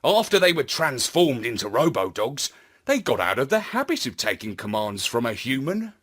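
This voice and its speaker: A man narrating a children's story in a theatrical, deliberate, expressive manner. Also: mid-range voice, slightly rough. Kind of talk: scripted children's story narration in first person.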